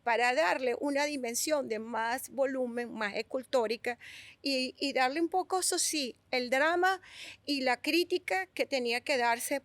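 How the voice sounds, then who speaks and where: A middle-aged Venezuelan woman with a Venezuelan accent, a sculptor telling stories in an interview, animated and restarting her words often. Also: high-pitched, no room sound. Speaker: guest sculptor. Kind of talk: conversational storytelling.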